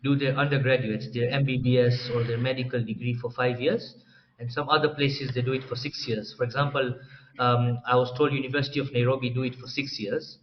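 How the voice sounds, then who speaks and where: A young man from Tanzania, a doctor training in psychiatry, explaining his career path as an interview guest in a relaxed way. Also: mid-range voice, brisk. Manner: explanatory, relaxed, clears throat often. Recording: slightly dull, faint room noise.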